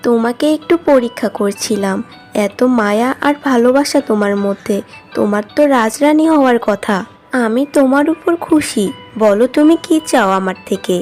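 A woman's voice: on the high side, normal speed, a little mellow, faint music behind, close to an everyday voice. A woman voicing a benevolent fortune-changing fairy in a children's cartoon, gracious and reassuring.

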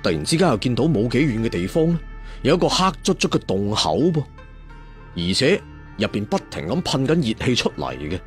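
A man's voice: medium pitch, very animated, a little fast, soft background music. A man telling a dramatic story in a colloquial, traditional storyteller's manner, putting questions to his listeners. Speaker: audiobook storyteller.

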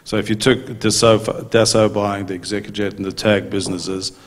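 Low, flat-voiced businessman in a hall. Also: normal speed. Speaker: maintenance company executive on a panel. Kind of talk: conference panel answer. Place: large conference hall stage. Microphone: handheld mic. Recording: long hall reverb.

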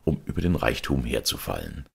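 A man narrating a fairy tale as a storyteller, theatrical and expressive. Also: very deep voice, a little fast, creaky, husky. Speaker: storyteller, audiobook reader.

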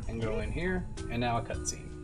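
A young male streamer talks casually through a game speedrun. His voice sits at a middle pitch, with game music clearly playing behind it. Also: lively intonation, fairly quick. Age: young adult.

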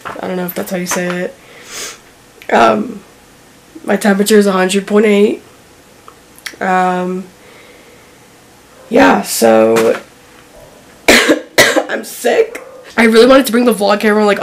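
A teenage girl vlogging to a handheld camera, sick with a sore throat and cough, talking softly and tiredly with many fillers. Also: mid-range voice, steady tone, unhurried, creaky, long pauses, a little hiss or hum. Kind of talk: casual vlog to camera. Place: indoors in a vacation rental living room. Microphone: handheld camera at arm's length.

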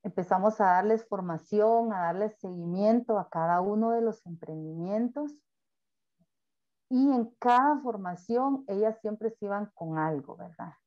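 A woman, speaking as a panelist in a webinar presentation, earnest and halting with frequent fillers. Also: medium pitch, lo-fi sound.

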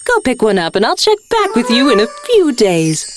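An actress playing a helpful, emphatic 1950s housewife pitching products in a radio commercial. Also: female voice, huge pitch swings, normal speed, music in the background. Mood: eager. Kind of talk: vintage radio commercial acting.

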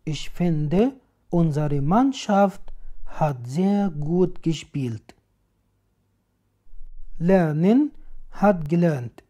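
A woman reading example sentences slowly and deliberately, each one twice, as a language teacher in a voice-over. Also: deep voice, animated, dark tone. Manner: slow, deliberate, clearly enunciated.

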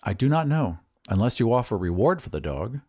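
A man's voice: mid-range voice, very animated, a little fast, warm tone, breathy edge. A man voicing a poor, lovelorn glassblower in a storybook reading, earnest in manner. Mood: gloomy, indifferent.

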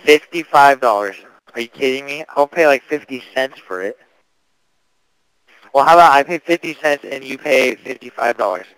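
Clear-voiced young man making a prank call. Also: mid-range voice, lively intonation, moderate pace, a bit bright, no room sound, low-quality recording. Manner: slangy, mock-earnest customer questioning. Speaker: prank caller. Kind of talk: casual prank phone call.

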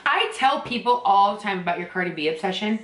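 A woman's voice: mid-range voice, lively intonation, fast talker, fairly bright tone. A woman in her thirties chatting casually as a vlog co-host, teasing and exclaiming, recorded indoors from a few feet away. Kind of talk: casual comedic banter between friends. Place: small room at home, indoors. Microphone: camera a few feet away. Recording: roomy echo with clear background noise.

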